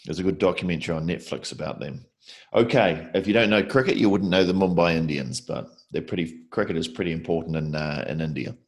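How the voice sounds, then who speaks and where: A man with a very low voice hosts a video-call interview, talking in a chatty, rambling way. He circles around his questions, restarting and filling gaps as he goes, recorded on a laptop mic. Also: fairly quick. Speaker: host.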